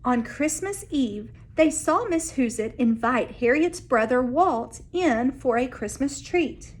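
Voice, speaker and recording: Lady teacher reading a kids book out loud, high voice, nice and slow, expressive. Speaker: elementary school teacher. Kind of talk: children's read-aloud narration.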